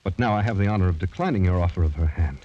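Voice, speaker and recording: An actor playing a gallant, proud young gentleman in a radio drama, speaking in a courtly, stiffly formal way. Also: deep voice, racing, muffled recording. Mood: defiant. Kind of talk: 1940s radio drama acting. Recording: noisy old radio recording.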